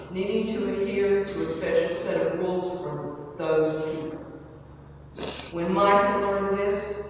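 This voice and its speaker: Female memorial reader, halting measured delivery, distant in a reverberant hall with faint noise. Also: on the low side. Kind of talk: scripted memorial reading. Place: large church hall, indoors. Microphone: far from the speaker. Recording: echoey church hall, distant mic, noisy.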